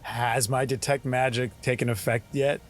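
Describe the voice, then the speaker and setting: A man playing a tabletop roleplaying game, describing his actions and speaking in character in a halting, earnest way. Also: medium pitch, steady tone, slight background noise.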